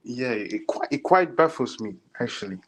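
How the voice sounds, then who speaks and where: A man's voice: mid-range voice, expressive, normal speed. A man from Sweden with a Swedish accent, calling in to a podcast and giving his view hesitantly. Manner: hesitant, many fillers and restarts. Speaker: caller. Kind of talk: casual call-in opinion. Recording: faint background noise on call.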